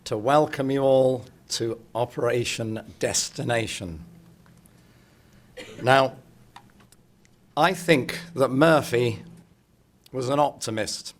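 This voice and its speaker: Middle-aged guy, croaky hoarse voice, chatty opening talk at a hotel conference, medium pitch. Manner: chatty, jokey, addresses the room directly. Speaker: speaker opening a political congress. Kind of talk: opening address to a conference audience.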